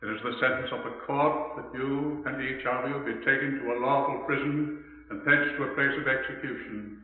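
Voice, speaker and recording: An actor playing a solemn judge, intoning formally in a 1950s radio drama. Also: male voice, medium pitch, steady tone, normal speed, natural voice. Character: grave, solemn judge pronouncing a death sentence. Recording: cavernous reverb, tinny 1950s radio.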